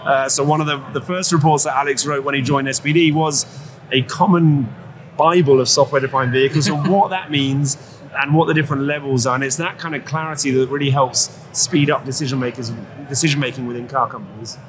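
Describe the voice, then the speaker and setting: With clear trade show noise behind him, a man speaks as an executive guest. His pitch is average for a man, and he explains things in a conversational, expert way. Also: fairly even intonation, brisk. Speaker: guest, company chief executive. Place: live at an automotive trade show. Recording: noisy trade show floor. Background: clear ambient noise.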